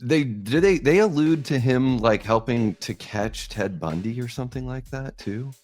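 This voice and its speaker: A man's voice: mid-range voice, expressive, normal speed, darkish tone. A man co-hosting a podcast, chatting casually and offering his opinions in conversation. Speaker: podcast co-host. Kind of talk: casual chat.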